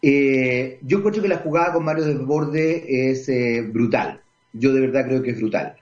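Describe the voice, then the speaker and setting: A man's voice: medium pitch, darkish tone. Chilean man, hesitant radio host, lo-fi sound. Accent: Chilean Spanish. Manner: hesitant, many pauses with drawn-out fillers. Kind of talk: radio talk show commentary and interview. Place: radio studio. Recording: dull lo-fi radio broadcast.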